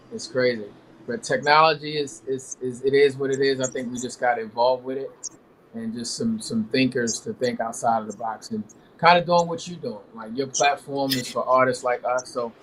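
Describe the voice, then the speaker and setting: A laid-back man talks as an R&B singer on an interview show, filling his sentences with many fillers. His pitch sits in the middle. Faint background noise runs under his voice. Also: moderate pace, poor audio. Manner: relaxed, rambling with many fillers. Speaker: R&B singer, guest. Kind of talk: casual interview talk.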